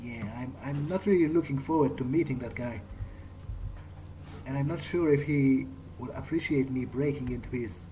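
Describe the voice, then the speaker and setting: A man's voice: mid-range voice, moderate pace, dark tone, a little hiss or hum, low-quality recording. A man doing in-character video game commentary, musing aloud in a hesitant, wryly understated way. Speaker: let's play gamer. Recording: game music behind the voice. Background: video game soundtrack.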